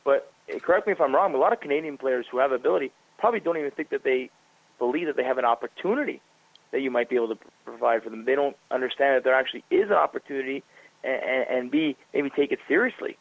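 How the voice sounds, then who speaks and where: A man's voice: medium pitch, quick pace, vocal fry, no echo. Rambling man on dry, tinny radio. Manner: rambling, full of fillers and restarts. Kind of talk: casual radio chat. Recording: dry, tinny narrow-band sound.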